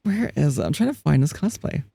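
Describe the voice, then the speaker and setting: Live streamer, casual chat, gravelly edge, dry close sound. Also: mid-range voice, sing-song, brisk, mellow, no room sound. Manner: chatty, laughs often, reads chat aloud; frequent restarts and fillers.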